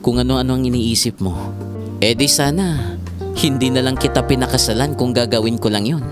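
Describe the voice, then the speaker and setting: A man's voice: medium pitch, fairly quick, lightly acted. A man playing an ardent young suitor in a Filipino audio drama, tender, playful and reassuring. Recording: music under the voice.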